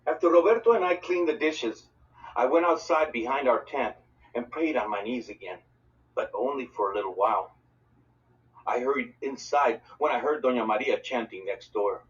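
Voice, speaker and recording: A man reading a children's novel aloud as an expressive, dramatic narrator. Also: medium pitch, normal speed. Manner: dramatic, voices dialogue for characters. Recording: distant mic, dull lo-fi sound.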